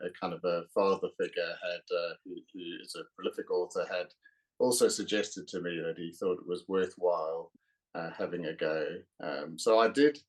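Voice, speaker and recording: A middle-aged man from South Africa with a South African accent, a guest author talking in a podcast interview, pausing often with fillers. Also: deep voice, low-quality recording. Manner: hesitant, many uh fillers.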